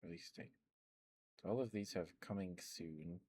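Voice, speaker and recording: A man rambling in a soft, meandering ASMR chat, with stammered restarts and fillers, close to the microphone. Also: deep voice, unhurried, husky, dry recording. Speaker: ASMR streamer.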